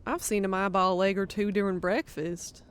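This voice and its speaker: A woman's voice: medium pitch, steady tone, moderate pace, clean tone, no echo, lightly acted. A woman voicing a blunt, teasing barmaid who gossips in a fantasy audiobook. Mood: gossipy, skeptical. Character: sassy gossiping female tavern barmaid. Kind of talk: audiobook character acting.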